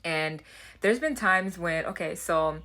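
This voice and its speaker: Low, bright-voiced woman with Haitian accent. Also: expressive, slow. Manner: chatty and earnest, restarts and repeats words. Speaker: self-development vlogger. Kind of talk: casual talk to camera.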